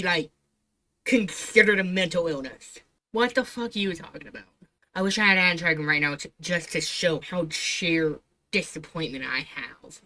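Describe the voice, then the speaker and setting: A woman's voice: lowish voice, pushed and bright, poor audio. A woman streamer giving casual reaction commentary, giggly and sarcastic, with blunt swearing.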